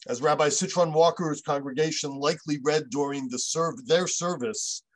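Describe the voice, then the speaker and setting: A man's voice: on the high side, moderate pace, low-quality recording. An American man in his fifties, a rabbi giving prepared remarks over a video call. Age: 50s. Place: room at home, indoors. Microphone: computer mic on a video call.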